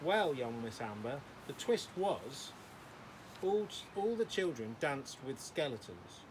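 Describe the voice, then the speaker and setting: A man's voice: medium pitch, very animated, slow pace, background noise. A man voicing a kindly old hospital porter in a storybook, courteous and fond of telling tales. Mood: playful. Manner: courteous, addresses children formally.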